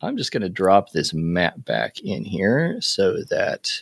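Man, game master, low voice, easygoing and chatty, dry sound. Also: slow pace, vocal fry, no room sound. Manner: relaxed, rambling with fillers and laughs. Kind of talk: running a tabletop game playtest.

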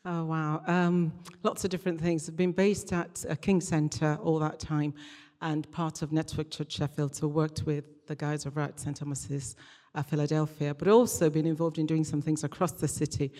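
A woman's voice: low-pitched, flat delivery, normal speed, mellow. A middle-aged woman speaking as a guest preacher, conversational and hesitant in phrasing, with a light touch. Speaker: guest preacher, regional church minister. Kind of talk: conversational church sermon and interview. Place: large church hall, live event. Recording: echoey hall with long reverb.

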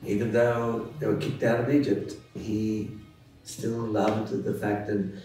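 A man's voice: on the low side, flat delivery, slow, dark tone. A middle-aged Australian man telling personal stories as an interview guest, easygoing with small chuckles and restarts. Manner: easygoing, chuckles now and then, many fillers and restarts. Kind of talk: relaxed personal storytelling. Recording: echoey room, distant mic, faint music.

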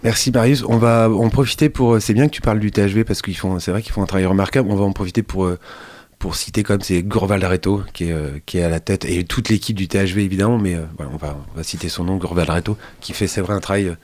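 A man's voice: low-pitched, fairly even intonation, fast talker, a little mellow. A man hosting a radio show, talking in a loose, rambling way with many fillers and restarts. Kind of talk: casual radio chat.

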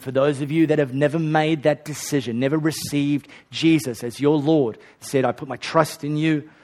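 A man's voice: a little high, a little mellow. A male pastor preaching a sermon and leading an altar call in a church. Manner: earnest, leads a repeat-after-me prayer. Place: hot church auditorium.

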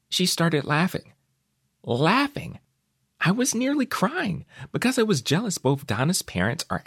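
A man narrating a short story in an expressive, conversational first person, with wry emphasis. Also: mid-range voice, no room sound, studio quality.

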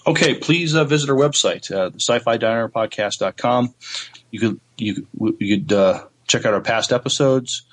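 A man's voice: medium pitch, moderate pace. A man speaking casually as the co-host of a podcast.

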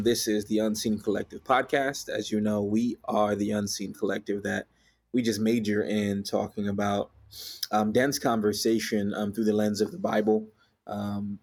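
Laid-back man hosting a podcast. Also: lowish voice. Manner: relaxed, many "um" fillers. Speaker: podcast host.

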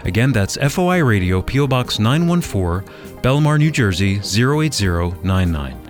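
Lively American male radio announcer, lowish voice. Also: moderate pace, soft background music. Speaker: radio announcer and executive producer. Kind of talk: scripted radio program sign-off announcing.

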